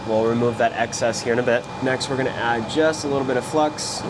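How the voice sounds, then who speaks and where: A man's voice: mid-range voice, a little fast. A man giving a casual step-by-step narration as a repair technician, talking through his actions as he works. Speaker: electronics repair technician presenter. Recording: steady fan-like background noise.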